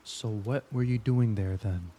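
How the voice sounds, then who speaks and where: A man's voice: fairly low pitch, mellow, slight background noise, lightly acted. A man playing a smug veteran investigator in an audio drama, wry and probing as he interrogates. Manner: wry, needling, deliberate.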